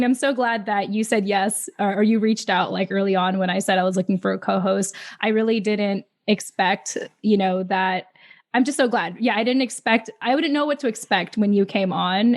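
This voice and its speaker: A woman hosting a podcast in a casual interview style, speaking in an affirming, encouraging way. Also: medium pitch. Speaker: podcast host.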